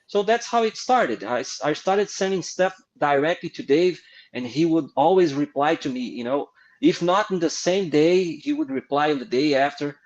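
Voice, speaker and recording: A middle-aged man from Brazil with a Brazilian accent, a guest artist telling animated, laughing anecdotes in an interview. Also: a little high, normal speed, muffled recording. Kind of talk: casual storytelling interview.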